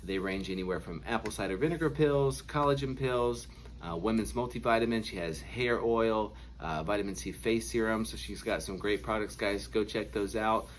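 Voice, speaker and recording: A man hosting a casual podcast, excitable and chatty, laughing often and calling his guest dude and man. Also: fairly low pitch, moderate pace, slight background noise. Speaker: podcast host.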